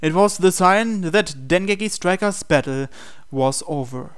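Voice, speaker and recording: A man narrates a visual novel playthrough, reading the script aloud. His voice is clean and smooth and sits slightly higher than most men's. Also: expressive, a little slow, faint music behind. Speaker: playthrough host reading game text.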